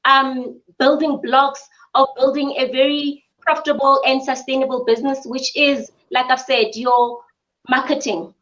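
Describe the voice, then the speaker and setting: A woman from South Africa, a spa business owner, answering interview questions in an earnest, measured way with frequent ums. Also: high-pitched, flat delivery, fairly bright tone. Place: her mother's home. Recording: dull lo-fi audio.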